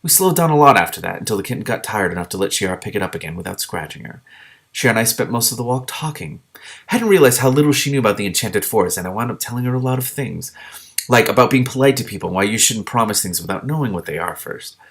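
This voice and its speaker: Low-voiced man reading a story aloud. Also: animated, fast, vocal fry, a bit bright. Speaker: reader of a book with commentary. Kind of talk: reading a children's fantasy novel aloud with casual commentary.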